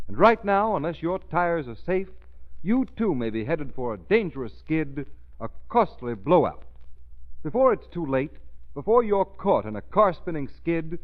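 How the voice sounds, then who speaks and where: A man announcing on 1930s radio, reading a script in a formal, declamatory, urgent manner. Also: a little high. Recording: tinny 1930s radio recording, music behind.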